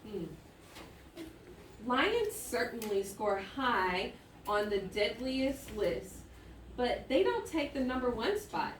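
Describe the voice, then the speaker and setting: A roomy, slightly noisy recording catches a woman at some distance from the mic. She is an elementary teacher leading a read-aloud for children. She muses out loud as she goes and keeps checking that her listeners follow. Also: on the high side, sing-song, takes it slowly. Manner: thinking aloud with musing hums and exclamations. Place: classroom with echo.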